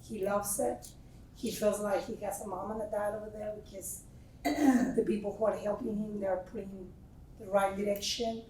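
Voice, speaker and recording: A woman's voice: medium pitch, steady tone, moderate pace, a little mellow, a little hiss or hum. A woman in her forties from Mexico City with a Mexican Spanish accent, speaking as a grateful parent from the audience in a chatty way. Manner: chatty, grateful, laughing often. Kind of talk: spontaneous audience remarks. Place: large meeting room. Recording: echoey room, voice from far off the mic.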